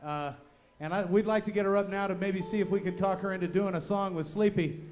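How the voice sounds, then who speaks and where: Middle-aged man, loud voice, earnest, high-pitched, stand mic on a club stage. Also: steady tone, brisk. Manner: loud, earnest announcer manner. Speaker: bass player. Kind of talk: introducing a guest on stage. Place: nightclub stage. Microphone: vocal mic on a stand.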